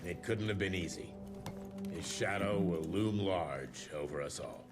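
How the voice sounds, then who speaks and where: A man's voice: low voice, measured pace, music in the background. A man with an American accent playing a pompous, profane, impatient government official in a video game cutscene. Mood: cold, formal.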